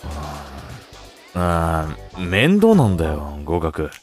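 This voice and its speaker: A man's voice: rumbling low, wildly swooping pitch, drawn-out words, faint music behind, full character voice. A man playing a blunt, lazy young male store clerk and student in a comic audio drama, grumbling casually. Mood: listless. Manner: casual, rough, listless grumbling.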